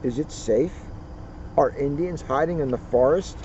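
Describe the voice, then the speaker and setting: A man reading a children's history book aloud outdoors, with deliberate, dramatic phrasing and casual asides. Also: on the high side. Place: outdoors at a turkey farm. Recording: muffled outdoor recording, faint vehicle noise.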